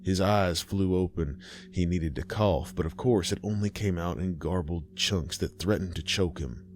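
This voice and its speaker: A man narrating a horror story in a slow, grim, deliberate way. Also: sits low, darkish tone, a little hiss or hum.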